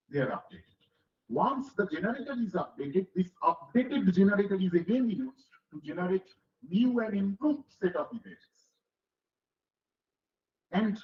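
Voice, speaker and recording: A middle-aged man with a lecturing on a technical topic, explaining step by step and checking whether listeners follow. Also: high voice. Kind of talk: technical lecture with classroom analogies. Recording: echoey, dull lo-fi hall recording.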